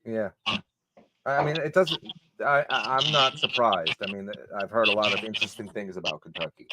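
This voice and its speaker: A man's voice: lowish voice, heavy background noise. A man on a live stream panel, speaking politely but firmly, pressing a request. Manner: measured, polite, insistent. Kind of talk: casual live stream chat.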